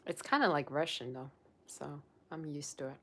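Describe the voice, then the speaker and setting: A woman's voice: low voice, normal speed, a bit bright, breathy edge. A young woman, a psychic tarot reader, chatting casually on a livestream and reading viewer comments aloud. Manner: chatty and rambling, with many "like" fillers.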